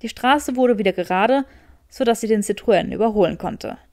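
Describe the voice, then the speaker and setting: A woman reading a story as an audiobook narrator, with expressive scripted delivery. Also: mid-range voice, normal speed, dry recording, clean studio sound.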